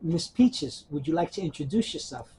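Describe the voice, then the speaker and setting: A man's voice: a little high, animated, normal speed, warm tone, slight background noise. A man working as an archivist, conducting an oral history interview in a friendly, encouraging way. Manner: friendly, encouraging, with many "you know"s.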